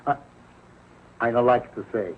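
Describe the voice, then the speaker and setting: An actor playing an excitable, good-natured Italian immigrant pupil who speaks broken English with a thick Italian accent in a vintage sitcom. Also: male voice, medium pitch, measured pace, lightly acted. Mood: excitable, eager. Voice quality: broken English with stretched words. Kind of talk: 1940s television sitcom acting. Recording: tinny, noisy old 1940s broadcast.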